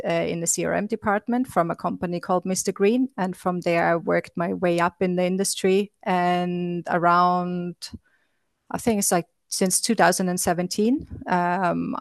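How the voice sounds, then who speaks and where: A woman's voice: fairly low pitch, no echo. A woman from Austria, a guest entrepreneur answering interview questions in a hesitant way, with many fillers and restarts.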